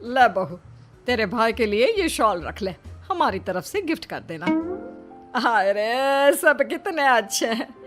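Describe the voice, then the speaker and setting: A woman's voice: a little high, very animated, slow, music in the background. A woman voicing an affectionate, teasing and scolding Indian mother-in-law in a Hindi cartoon, with exclaiming delivery. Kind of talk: Hindi cartoon story dialogue.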